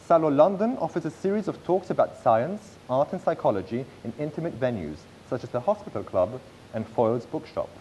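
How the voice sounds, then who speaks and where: A man in his thirties presenting a business pitch from a script on stage, with occasional slips and restarts. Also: warm tone, dull audio, slight background noise. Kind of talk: read-aloud business pitch. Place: large theatre stage.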